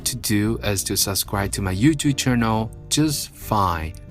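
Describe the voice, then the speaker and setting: A man's voice: on the low side, lively intonation, unhurried, soft background music. A man hosting a casual evening radio show alone, chatty and self-mocking, rambling between songs. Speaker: radio host. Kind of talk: casual solo radio talk. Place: radio studio. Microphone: studio mic.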